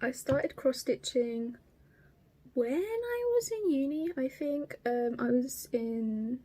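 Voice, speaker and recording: A woman's voice: sits high, lively intonation, slow, mellow. Chatty sweary young Estuary English woman. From Kent, England. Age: 20s. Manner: chatty, sweary, rambling with fillers and restarts. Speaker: craft vlogger. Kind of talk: casual chat to camera.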